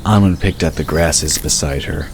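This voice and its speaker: A male narrator with a remarkably low, bass voice reads a scripted fantasy tale. There is slight ambient noise behind him. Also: moderate pace.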